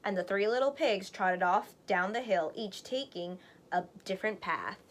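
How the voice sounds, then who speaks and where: A woman storyteller narrating a children's read-aloud in an expressive, deliberate way. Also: medium pitch.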